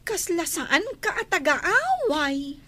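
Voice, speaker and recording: A woman's voice: very high-pitched, very animated, slow, pushed and bright, exaggerated voice. A woman playing a chatty, friendly senior housemaid in an Ilocano-accented radio drama. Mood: chatty, admiring.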